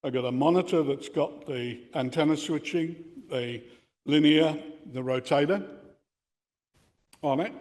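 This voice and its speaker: An older man giving an informal explanatory talk, reflective and halting in his phrasing. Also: fairly high pitch, darkish tone. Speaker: presenter giving a talk on radio contesting. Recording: reverberant hall sound.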